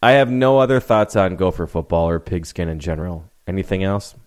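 Low-voiced man explaining sports at length. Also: animated, fairly quick, smooth. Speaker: podcast co-host. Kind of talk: casual sports chat.

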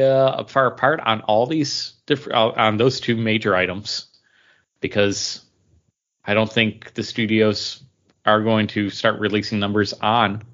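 A man co-hosting a podcast, talking through news casually and haltingly, often acting out imagined back-and-forth dialogue. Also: lowish voice, fairly bright tone. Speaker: podcast co-host. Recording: dull, lo-fi podcast audio.

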